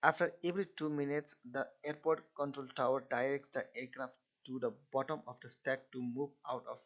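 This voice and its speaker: A male lecturer speaks at a middling pitch on a dull, lo-fi recording. He explains technical material step by step, often restarting and repeating his phrases in a halting way. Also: flat delivery, fairly bright tone.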